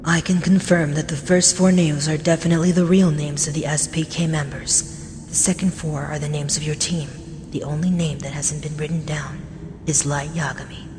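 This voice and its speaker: A woman in an anime fan dub playing a calm, coolly superior young male detective genius, speaking in a measured, deliberate way. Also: deep voice, noisy background, lightly acted. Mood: cool, assured.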